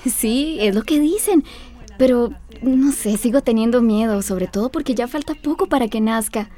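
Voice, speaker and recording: A caring, slightly anxious young pregnant woman in a radio soap opera, played by an actress. She speaks in a fairly high woman's voice with a Costa Rican accent, friendly and direct. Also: normal speed, warm tone, lightly acted. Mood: anxious, hopeful.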